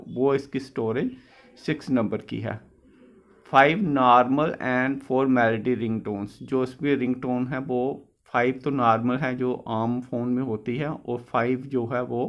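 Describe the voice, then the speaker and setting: Male product reviewer, average pitch, explains and rephrases, dull phone-mic audio. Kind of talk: informal product review narration.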